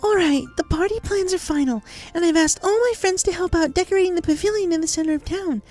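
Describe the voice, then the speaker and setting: A woman's voice: very high voice, fast talker, darkish tone, soft background music. A woman playing a desperately upbeat, haunted cartoon pony who reads out her diary with earnest, exaggerated cheer. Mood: hopeful, excited. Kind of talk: dramatized diary reading of fan fiction.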